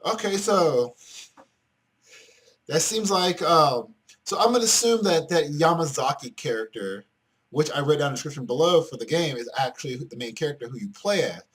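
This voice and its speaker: Animated young male gamer, lively pitch. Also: normal speed. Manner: expressive, slangy. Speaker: gaming video host. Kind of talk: casual let's play, reading game text aloud. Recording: loud guitar game music behind. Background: game music.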